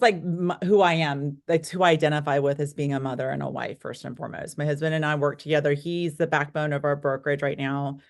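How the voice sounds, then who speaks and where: Low-pitched American woman in her forties. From United States, born in Korea. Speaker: interview guest, real estate broker. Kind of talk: conversational interview answers, personal storytelling.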